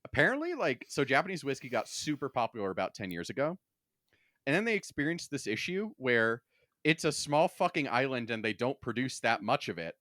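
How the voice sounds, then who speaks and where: A man's voice: mid-range voice, sing-song, fairly quick, a bit bright, no echo, clean studio sound. A man hosting a podcast in casual, wry banter, joking and swearing freely as he talks. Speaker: podcast host.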